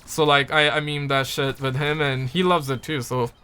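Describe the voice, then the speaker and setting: Bright-voiced man chatting on stream. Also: on the high side, fairly even intonation, moderate pace, clean tone. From Pakistan. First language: Urdu. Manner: rambling, repeats words and restarts often, playful. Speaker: livestreamer. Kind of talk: casual livestream chat, reading viewer comments aloud.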